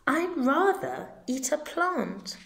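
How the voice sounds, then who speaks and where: A woman voicing a polite, plaintive young male dinosaur, gentle and squeamish, in a storybook. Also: sits high, sing-song, slow pace, creaky, a little hiss or hum, voice pushed up, exaggerated voice. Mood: apologetic, reluctant. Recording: roomy home recording.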